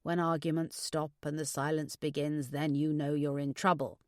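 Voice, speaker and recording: A woman voicing a kindly older father who gives measured, reassuring, philosophical advice in an audiobook. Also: deep voice, level tone, a little mellow, no room sound, clean studio sound, natural voice. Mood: earnest, reassuring. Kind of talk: audiobook character dialogue.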